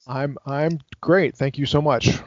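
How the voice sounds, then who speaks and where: A man's voice: medium pitch, steady tone, unhurried, dry recording, muffled recording. A man in his fifties from Hawaii, a scholar giving a long account of his background in an interview over a call, hesitant and full of fillers and restarts. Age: 50s. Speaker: guest scholar. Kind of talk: academic interview.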